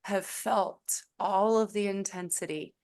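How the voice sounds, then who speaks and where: A woman leading an online group session over a video call, gentle and encouraging, calling on participants by name. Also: medium pitch, fairly even intonation, takes it slowly. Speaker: guest speaker leading a session.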